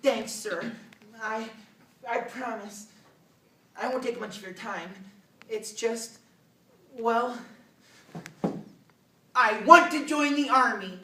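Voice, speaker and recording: A man's voice: very high voice, slow pace, pauses often, bright, forceful tone, full character voice. A man playing an earnest nine-year-old American farm boy, pleading in a folksy, stammering theatrical monologue. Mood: eager, earnest. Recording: roomy, distant mic with background noise.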